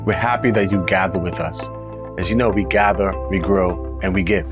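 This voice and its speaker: A man's voice: deep voice, moderate pace, music in the background. A man who is a pastor, hosting a church interview series, introducing his guest and asking questions in a welcoming way. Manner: welcoming, brief affirming interjections.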